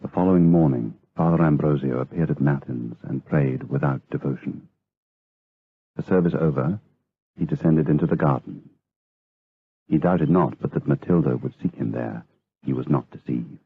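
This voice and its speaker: Deep, husky male narrator. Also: moderate pace, no room sound. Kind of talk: scripted literary narration. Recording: dull lo-fi radio drama recording.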